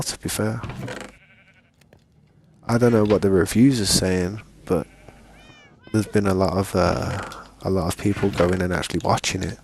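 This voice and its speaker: A man talks through his gameplay in a low, gravelly voice. He rambles in a laid-back way and keeps saying "man". He still has a lingering cough, and faint hiss and keyboard clicks sit behind him. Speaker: gaming streamer. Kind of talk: casual gameplay chat.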